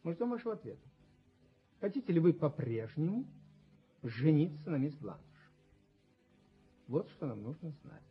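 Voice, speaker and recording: A man's voice: a little high, big pitch swings, normal speed, long pauses, breathy edge, exaggerated voice. An actor playing an ingratiating, scheming self-made businessman who coaxes familiarly, in a radio play. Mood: soothing, insistent. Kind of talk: Soviet radio play acting. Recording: muffled 1970s radio drama recording.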